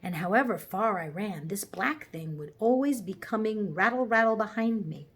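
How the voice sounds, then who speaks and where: A woman playing a slow, thoughtful, slightly muddled talking horse in a storybook reading. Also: medium pitch, animated, slightly rough. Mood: pondering. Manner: slow, pondering.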